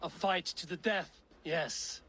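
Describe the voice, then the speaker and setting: Wry, commanding Viking warrior. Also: male voice, fairly high pitch, takes it slowly, fairly bright tone, a little hiss or hum. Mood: challenging. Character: confident, wry Viking warrior mentor, coaching and challenging. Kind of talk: video game cutscene acting.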